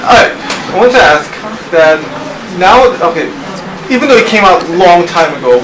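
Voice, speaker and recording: A man puts polite, slightly halting questions to a guest at a press conference. He is picked up from across the room, so his somewhat high voice sounds distant and echoey over steady noise. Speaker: press interviewer.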